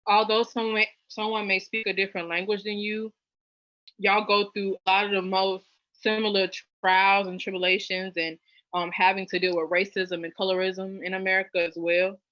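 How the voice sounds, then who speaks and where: A young woman from New Orleans chatting as an interview guest, with lots of fillers and lively jumps in pitch. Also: normal speed, no echo. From New Orleans, US South. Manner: chatty, many fillers, animated rises in pitch. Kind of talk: casual interview chat. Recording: dull lo-fi dry sound.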